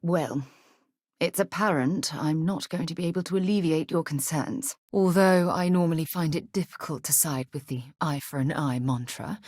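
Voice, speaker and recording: A woman's voice: deep voice, a little mellow, breathy edge, dry recording, clean studio sound. An actress playing an earnest, principled woman space explorer in a video game, speaking in a composed, articulate, rather formal way.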